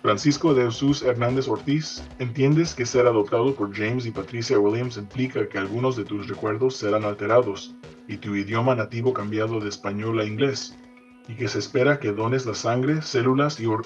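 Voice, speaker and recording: Interpreter for audio drama, male actor: neutral, dutiful, quick delivery, slightly dull recording. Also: medium pitch, fairly even intonation, faint music behind, lightly acted. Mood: neutral, formal. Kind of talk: audio fiction reading.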